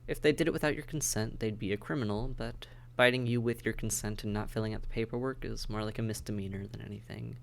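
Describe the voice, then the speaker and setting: A man's voice: fairly low pitch, steady tone, fast, gravelly, few pauses. A man acting a friendly, dry-witted vampire caseworker in a conversational audio roleplay, wry, understated and matter-of-fact. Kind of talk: conversational audio roleplay, one side of a dialogue.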